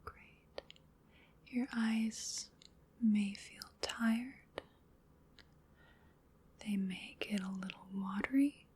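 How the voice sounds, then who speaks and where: A woman whispering in a breathy voice, giving soothing, unhurried ASMR hypnosis as part of a roleplay. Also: mid-range voice, a little mellow, a little hiss or hum. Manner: soothing, unhurried, repetitive guided relaxation. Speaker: ASMR performer.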